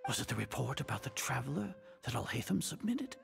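An actor playing an elderly grand sage, a proud scheming scholar who talks in a pompous, suspicious way and mutters to himself. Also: male voice, mid-range voice, very animated, fast talker, vocal fry. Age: older.

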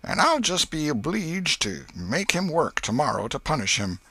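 An exasperated old country aunt from a storybook, acted out by a man, her pitch lifted high and swinging freely. She speaks in a folksy rural Southern American drawl, scolding and fretting with a soft heart underneath. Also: very animated, normal speed, slightly rough, dry recording, exaggerated voice. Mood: fretful, fond. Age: older. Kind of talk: audiobook character voice.

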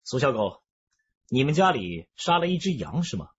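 A man's voice: medium pitch, big pitch swings, normal speed, breathy edge, dull audio. An actor playing a shrewd male police detective in a radio drama, with a wry, probing manner.

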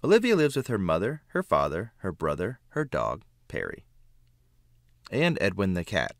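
A man reading a children's picture book aloud with expressive, emphatic phrasing. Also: low-pitched, normal speed, creaky, no echo, studio quality. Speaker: children's book reader, narrator. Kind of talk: read-aloud storybook narration.

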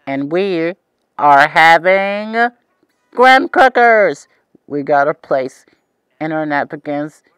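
A man's voice: fairly high pitch, lively intonation, takes it slowly, a bit bright, clean tone, no echo, dull audio. A man playing a cheerful, eager young host of a preschool TV show, talking to the viewer in an exaggerated, wide-eyed way. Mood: cheerful, excited. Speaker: children's show host character.

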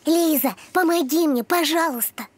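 A woman's voice: sits high, moderate pace, over music, lightly acted. A woman voicing an earnest little boy puppy in a children's cartoon, speaking in a childlike, pleading way. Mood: pleading, determined.

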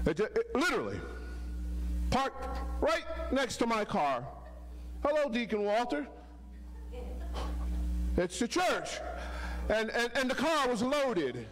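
A middle-aged man preaching a sermon from a church pulpit into a handheld mic, with a loud voice and call-and-response lines. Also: a little high, a little hiss or hum. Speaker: deacon preaching. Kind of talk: sermon with sung hymn.

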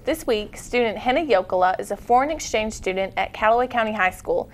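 A teenage girl anchoring a school newscast, reading scripted items brightly and making puns in a studio. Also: a little high. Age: teens. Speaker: student news anchor. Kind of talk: read newscast.

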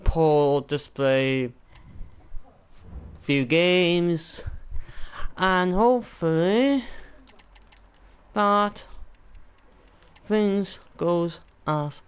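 A man's voice: sits high, extremely slow, pauses often, clear, resonant tone. A Welsh man casually commenting on his own video game play as a gaming channel host. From Wales. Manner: loud energetic greeting, then quiet murmuring while customizing. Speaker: gaming YouTuber.

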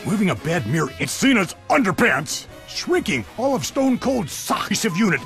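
Pompous, gravelly cartoon villain boss. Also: male voice, sits high, very animated, moderate pace, a bit bright, soft background music, full character voice. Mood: gloating. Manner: pompous, theatrical. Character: scheming, egomaniacal middle-aged camp boss. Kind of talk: animated comedy acting.

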